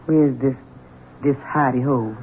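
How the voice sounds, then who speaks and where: A woman's voice: rumbling low, fairly even intonation, measured pace, a little hiss or hum. An actress playing a grave, fearful and embittered household servant woman in a radio drama. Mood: subdued. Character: long-suffering, bitter, fearful female servant of the household. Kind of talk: 1970s radio drama acting. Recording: thin, narrow-band old radio broadcast.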